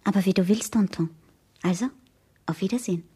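A woman's voice: mid-range voice, fairly quick, mellow, close to an everyday voice. An actress playing a cheeky, boisterous teenage girl in a 1970s German radio play. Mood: soft, subdued. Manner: impish, exclamatory.

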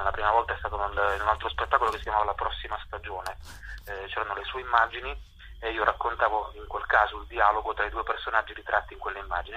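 A man's voice: lowish voice, bright, forceful tone, breathy edge. A man being interviewed as a guest playwright and actor, talking reflectively and searching for words, often repeating himself. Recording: dull lo-fi phone or radio line.

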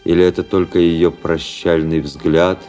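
Deep brooding officer type, proud and jaded, theatrical radio play delivery, music behind him. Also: male voice, flat delivery, measured pace, dark tone. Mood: wistful. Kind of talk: Russian radio drama acting and narration.

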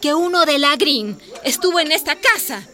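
A high-pitched, forceful village woman in a radio drama. She is outspoken and combative, pressing her points insistently as a mother and community activist. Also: lively intonation, normal speed, a little hiss or hum. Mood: worried, firm. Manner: indignant, insistent, challenging.

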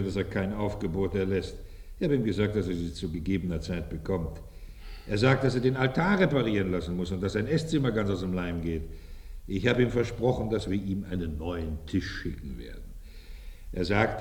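A man's voice: on the low side, lively intonation, a little fast, a little mellow, husky. An actor in a radio play voicing a persuasive, deferential estate steward, pragmatic and matter-of-fact in his coaxing. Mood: reporting.

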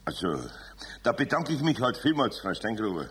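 A man playing a kindly, grumbling older Bavarian master carpenter with a husky, gravelly voice in a children's radio play. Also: fairly low pitch, big pitch swings, normal speed, noisy background. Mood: polite.

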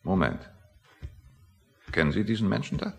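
Grumpy pedant, radio satire: low, gravelly male, lecturing with swooping emphasis. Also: sing-song, normal speed, heavily acted. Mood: pompous, musing. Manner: pedantic, lecturing, easily offended. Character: grumpy, self-satisfied, pedantic man waiting outside a meeting room. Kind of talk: satirical German radio play dialogue. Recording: reverberant hall-like room.

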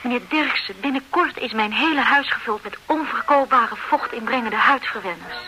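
A woman's voice: a little high, normal speed, natural voice, low-quality recording. A woman playing a rueful, cheated mother. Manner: earnest, explaining at length. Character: flustered divorced mother duped by an ad. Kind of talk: radio play acting.

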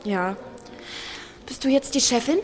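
A woman's voice: high-pitched, unhurried. An actress playing an insecure, resentful teenage girl in a radio drama, hesitant, questioning and defensive. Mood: guarded, prickly. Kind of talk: naturalistic radio drama acting in German.

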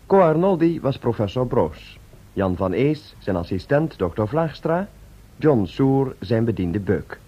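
Male announcer for vintage radio serial credits: formal, husky, animated intonation. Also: mid-range voice, measured pace. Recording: dull old radio recording.

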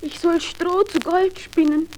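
A timid young miller's daughter, high-voiced and slow, speaks in meek, plaintive, halting phrases. It is a fairy-tale radio play on a noisy, crackly recording. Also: female voice, fairly even intonation, a little mellow. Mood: despairing.

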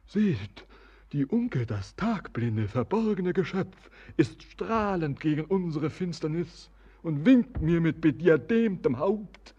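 Brooding visionary sailor for radio play. Very raspy, soft-edged tone, solemn declamation, dull recording. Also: male voice, on the high side, animated, slow pace, full character voice. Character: brooding, visionary young sailor, yearning and melancholy. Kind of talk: verse radio drama acting.

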